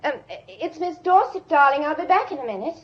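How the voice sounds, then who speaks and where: A woman's voice: high-pitched, animated, fairly quick, highs cut off, noisy background. A woman playing an earnest, guarded young woman in a British radio drama, anxious and hesitant, with a British accent. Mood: tense, guarded.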